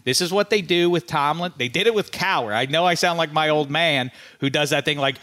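American man, sports show panelist with a bright, forceful voice, animated and rambling banter. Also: fairly high pitch, fairly quick. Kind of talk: casual sports betting banter.

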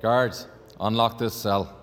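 A man acting a smug, menacing interrogator, measured and condescending, in an audio drama. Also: fairly low pitch, fairly even intonation, a little hiss or hum. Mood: smug, commanding. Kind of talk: naturalistic audio fiction acting.

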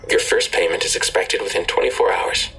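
A sinister internet ghost speaks in a low voice through a computer speaker. It recites stiff customer-service phrases in a formal, repetitive way, with music underneath, as in an audio drama. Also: fairly quick, fairly bright tone. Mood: cold, menacing. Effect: voice heard through a computer speaker. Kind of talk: full-cast audio drama acting.

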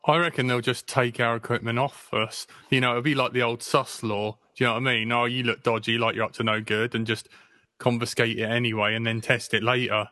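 A man's voice: mid-range voice, level tone, a little fast, pushed and bright. A man in a casual chat, making wry jokes. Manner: jokey, wry banter.

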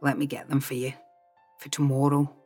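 A calm gentleman from an audiobook romance, played by a woman narrator. He speaks low and quietly, with gallant courtesy and a gently teasing manner. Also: normal speed, slightly rough, soft background music. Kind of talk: audiobook character dialogue.

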